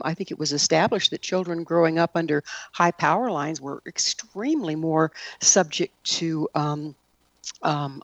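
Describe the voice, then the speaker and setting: A woman's voice: low voice, dry recording, lo-fi sound. A woman, hosting a radio interview show in a scripted broadcast style.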